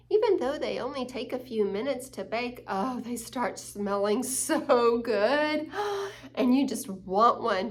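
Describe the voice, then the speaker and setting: A woman hosting a children's story time, reading a picture book aloud and explaining it with questions to the young listeners. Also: a little high.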